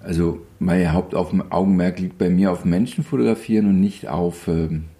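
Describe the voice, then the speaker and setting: A man's voice: low voice, moderate pace. A man in his fifties, a photographer being interviewed, talking casually with wry humor and many hesitations. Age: 50s. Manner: relaxed, rambling storyteller with wry humor and frequent hesitations. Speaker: photographer guest.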